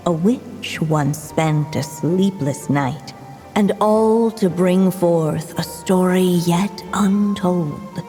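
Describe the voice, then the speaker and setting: A woman playing a solemn, mysterious storybook narrator, reciting a poetic riddle in a soft, breathy, hushed voice for a video game. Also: fairly low pitch. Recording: long ethereal reverb, faint music.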